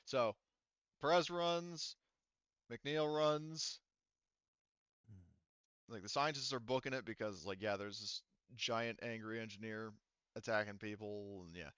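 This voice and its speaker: A man's voice: fairly low pitch, slow, long pauses, fairly bright tone, smooth, no room sound, lo-fi sound. A man working as game master, improvising narration and rulings for a tabletop roleplaying game in a casual, profane way.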